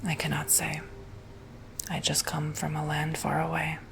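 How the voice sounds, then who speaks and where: A man whispering softly and gently through an ASMR roleplay, as if doing someone's makeup. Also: a little high, monotone, vocal fry, background noise. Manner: soft whispering, slow and gentle.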